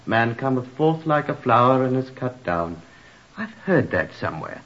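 A man's voice: mid-range voice, big pitch swings, normal speed, fairly bright tone. An actor playing a flippant, garrulous man with macabre humour and wordy, mock-literary talk in a radio drama. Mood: macabre relish. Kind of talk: old-time radio drama acting. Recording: dull, muffled old radio recording.

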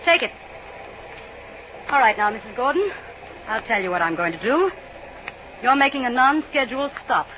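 A woman's voice: on the high side, big pitch swings, normal speed, pauses often. An actress playing a cunning, commanding female spy villain with a controlled, menacing manner in old radio drama. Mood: ruthless. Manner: controlled, menacing, crisp orders. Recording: dull old radio recording, noisy background.

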